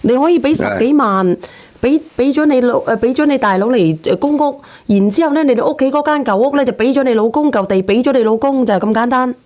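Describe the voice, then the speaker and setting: A woman's voice: sits high, fast talker, darkish tone. A woman with a Hong Kong Cantonese accent hosting an advice phone-in, talking bluntly and telling people what to do. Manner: blunt, directive. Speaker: host of a phone-in advice show. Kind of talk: unscripted relationship advice chat.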